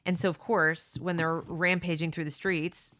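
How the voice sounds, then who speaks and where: A woman's voice: fairly low pitch, flat delivery, normal speed, a bit bright. A middle-aged woman, a homeschooling parent, reading a book aloud and breaking off into earnest, opinionated asides, often checking in with "okay?" and "you know.". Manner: reads passages then breaks off into emphatic asides. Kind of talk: read-along with conversational commentary.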